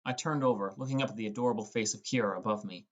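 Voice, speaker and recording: A man's voice: lowish voice, racing, muffled recording. A young man reading scripted first-person narration as an audiobook narrator.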